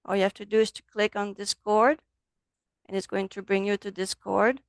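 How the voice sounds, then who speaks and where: A woman with a French accent, a native French speaker, teaching a relaxed online software class over a video call. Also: mid-range voice, normal speed, no room sound, studio quality. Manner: friendly, loose, switches between English and French. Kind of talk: casual online class walkthrough.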